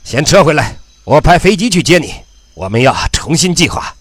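A stern general in a science-fiction radio play. His voice sits fairly high and swings widely as he barks clipped, forceful orders. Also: big pitch swings, moderate pace, slightly rough, no echo, full character voice. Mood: stern, terse. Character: stern, commanding male army general, middle-aged. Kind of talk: Chinese radio drama acting.

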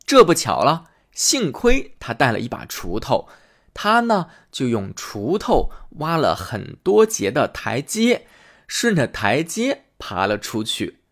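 A man hosting and reading a fairy tale aloud in an expressive storytelling manner. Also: medium pitch, slow. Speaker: storyteller host.

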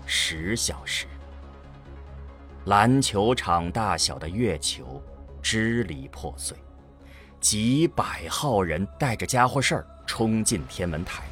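A man narrating a science fiction radio drama in a dramatic scripted storytelling style. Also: on the low side.

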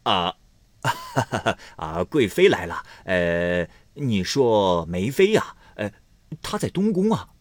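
A man's voice: fairly low pitch, big pitch swings, takes it slowly, no room sound. A man voicing a flustered middle-aged emperor who hems and stammers evasively. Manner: hesitant, stammering with fillers.

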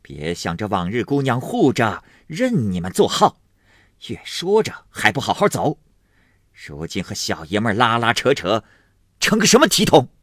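Man doing a bossy older maid, snippy n impatient, kinda raspy, dry sound. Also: on the low side, sing-song, no echo, full character voice. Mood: sneering. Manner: cold, hurried, bossy. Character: scolding middle-aged woman servant, sneering and impatient.

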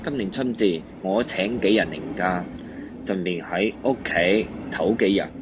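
A man's voice: low-pitched, measured pace, lo-fi sound. A man with a Cantonese accent playing a homesick working man in a radio drama. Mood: longing. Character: homesick working man looking forward to rest. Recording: clear traffic noise behind.

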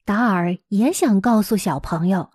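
A woman with an expressive, dramatic storytelling manner, speaking as a teacher to young listeners. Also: medium pitch, measured pace, breathy edge, no room sound, studio quality. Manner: dramatic, animated delivery with rhetorical questions addressed to young listeners. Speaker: teacher hosting a children's story podcast.

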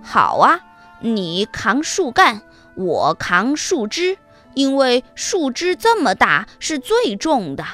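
A woman's voice: on the high side, huge pitch swings, takes it slowly, a bit bright, faint music behind, full character voice. A woman voicing a brave, clever little male tailor in a children's fairy tale, breezy and boastful. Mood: sly.

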